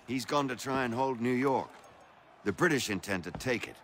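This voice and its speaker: A dignified statesman in a period game drama, formal and earnest. His mid-pitched voice is grave, over faint background noise. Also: expressive, moderate pace, a bit bright.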